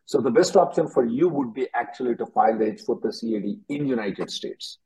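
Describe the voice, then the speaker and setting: A man's voice: medium pitch, expressive, brisk, mellow, poor audio. A man hosting an advisory call-in as an attorney, directive and given to repeating himself. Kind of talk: advisory call-in question and answer.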